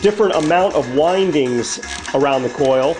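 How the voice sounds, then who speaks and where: A man's voice: fairly high pitch, moderate pace, over music. A man hosting a do-it-yourself project show, narrating scripted how-to instructions step by step in an instructional manner. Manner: clear step-by-step instructional delivery. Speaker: host of a DIY project show.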